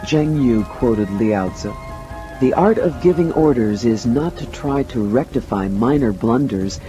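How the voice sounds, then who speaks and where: A man's voice: medium pitch, expressive, unhurried, over music, poor audio. A man reading quoted commentary in a scripted audiobook style. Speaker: audiobook narrator.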